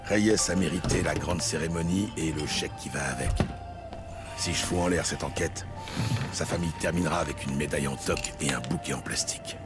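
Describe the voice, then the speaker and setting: Burnt-out bitter cop in a french audio drama, deep gravelly growl, terse noir narration, loud music behind. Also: male voice, brisk. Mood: bitter, weary. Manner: world-weary, terse, brooding. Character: hard-boiled, bitter, weary male New York police lieutenant.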